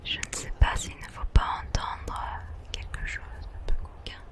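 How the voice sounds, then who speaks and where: Slow whispered ASMR gaming commentary. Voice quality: soft, unvoiced whisper. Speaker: host of a relaxation gaming channel.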